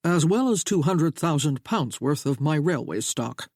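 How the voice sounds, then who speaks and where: A man voicing an older American father with a booming drawl, proud and protective of his daughter. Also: animated, a little slow, a little mellow, husky, no echo, clean studio sound, heavily acted. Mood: fatherly.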